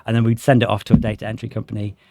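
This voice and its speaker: Fast-talking English man in his late thirties. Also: on the low side, monotone, creaky, background noise. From England, grew up in Shropshire. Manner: informal, anecdotal. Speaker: podcast guest, sales director. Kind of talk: casual conversational storytelling.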